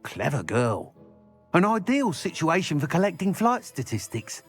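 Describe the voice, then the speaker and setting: An actor playing an eager, chatty shopkeeper and inventor, talking in enthusiastic sales patter. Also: male voice, on the high side, wildly swooping pitch, moderate pace, soft background music. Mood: keen, scheming. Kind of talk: scripted game dialogue.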